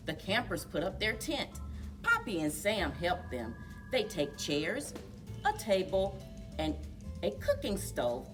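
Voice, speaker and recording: A woman reading a children's story aloud in a deliberate, expressive way for young listeners, as a story time host. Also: lowish voice, far from the mic.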